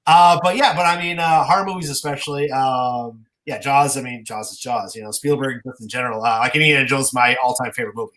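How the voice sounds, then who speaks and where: A man's voice: mid-range voice, a little fast, a bit bright. A man chatting casually as an interviewed artist, telling rambling stories and acting out remembered dialogue. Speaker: comic book artist being interviewed. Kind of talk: casual chat.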